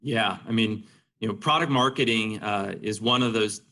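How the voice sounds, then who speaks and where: A man with a fairly low, forceful voice gives conversational interview answers like a business executive. His tone is bright, and he fills his sentences with "you know" as he explains things. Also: moderate pace. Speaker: senior marketing executive being interviewed.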